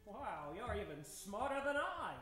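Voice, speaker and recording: An actor playing an excitable, brainy scientist adventurer, fussy and exclamatory, in an old-time radio adventure parody. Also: male voice, high-pitched, measured pace, soft background music, exaggerated voice. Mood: pondering. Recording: roomy sound, loud background noise.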